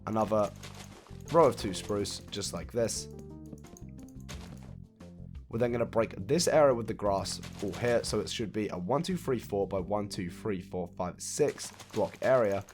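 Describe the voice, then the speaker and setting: Croaky, low-voiced man guiding a build. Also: unhurried. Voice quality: raspy. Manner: chatty, counts blocks aloud, laughs off his own mistakes. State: hoarse, croaky after a cold. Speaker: video game building tutorial host. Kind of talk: casual step-by-step gameplay walkthrough. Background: faint background music.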